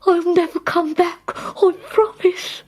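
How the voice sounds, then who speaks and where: Casting: timid, excitable little male hero for a children's storybook, high-pitched, played by a woman, music underneath. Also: takes it slowly. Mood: whimpering, pleading.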